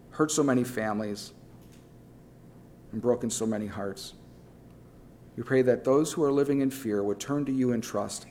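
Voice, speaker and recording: A man leads his church in prayer, speaking in a steady, earnest way. He moves on to plain announcements with the same measured manner. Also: mid-range voice. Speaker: pastor.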